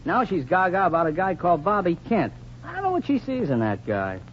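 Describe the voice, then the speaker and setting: A scrappy, lovelorn teenage boy in a 1940s radio comedy. His slangy adolescent voice cracks and leaps widely in pitch. The recording is muffled and a little noisy. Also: sing-song, fairly quick. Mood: sarcastic.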